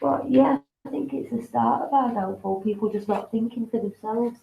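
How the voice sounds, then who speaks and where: A woman co-hosting a casual talk show, sniffly and congested from a cold, speaking thoughtfully with pauses and fillers. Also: fairly high pitch, vocal fry, noisy background. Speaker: co-host.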